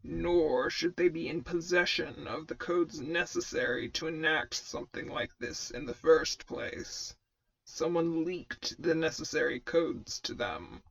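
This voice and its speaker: A woman voicing a menacing, formal armored male warlord in an audio fan fiction, with a gravelly, vocoder-filtered growl. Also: deep voice, no echo, voice pushed down, full character voice, low-quality recording. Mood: cold anger. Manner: formal, clipped, sarcastic. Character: menacing, stern armored male Sith lord, protective mentor.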